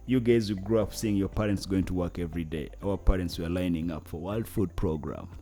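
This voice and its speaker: Deep-voiced Ugandan man, earnest. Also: a little fast, warm tone, faint music behind. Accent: Ugandan English. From northern Uganda. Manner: earnest, searching for words. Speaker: guest, climate activist. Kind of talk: interview conversation.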